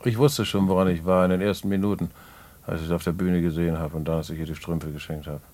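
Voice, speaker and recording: Actor as a low-voiced, wistful small-time crook. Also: male voice, fairly even intonation, fast talker, darkish tone, lightly acted. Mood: confident, reminiscing. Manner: colloquial, musing, rueful. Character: streetwise male small-time crook in jail, wistful, fatalistic. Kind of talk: naturalistic German radio play acting.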